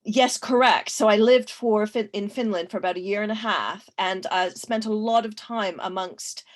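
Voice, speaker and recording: A woman's voice: mid-range voice, moderate pace. A woman from Canada speaking as a panelist in a casual discussion, thoughtful, with frequent fillers and restarts.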